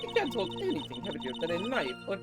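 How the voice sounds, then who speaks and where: A woman's voice: medium pitch, wildly swooping pitch, quick pace, over loud music, voice pushed up. A woman voicing a chatty elderly nun with a bad back, folksy and full of dramatic complaint, in a video game read-aloud. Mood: complaining.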